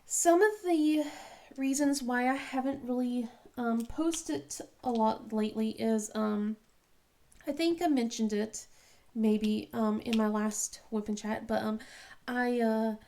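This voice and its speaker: A woman chatting casually as she works on a craft project, halting with many ums and restarts, sniffly from a cold. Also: fairly high pitch, mellow, a little hiss or hum. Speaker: diamond painting vlogger. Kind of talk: casual chat while crafting.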